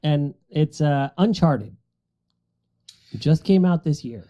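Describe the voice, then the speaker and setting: A man's voice: on the high side, animated, slow, mellow, lo-fi sound. A middle-aged man chatting casually about movies as a podcast panelist, in an explanatory, conversational way.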